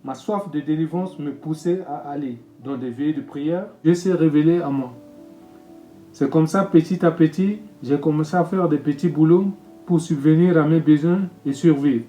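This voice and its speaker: A man, a pastor reading his own testimony in a solemn, measured way. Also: fairly high pitch, warm tone. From Congo-Brazzaville. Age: 50s. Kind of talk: read personal testimony. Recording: echoey room with music bed.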